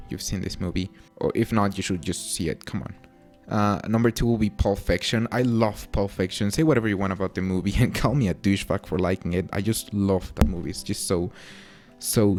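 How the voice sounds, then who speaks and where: A young Mexican man with a Spanish-tinged accent that carries a few British vowels, his voice sitting low. He talks in an easygoing, rambling way, full of fillers and self-mocking asides. Faint music plays quietly under him. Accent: Mexican with British touches. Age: young adult. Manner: relaxed, chatty, self-deprecating with frequent fillers. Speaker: YouTuber. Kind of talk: casual Q&A to camera.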